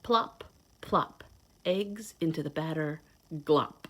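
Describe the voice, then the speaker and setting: A woman reading a children's picture book aloud in a playful, expressive, sing-song way, adding simple asides to her young listeners. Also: low-pitched, slow. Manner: simple child-directed explaining. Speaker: children's read-aloud host, teacher.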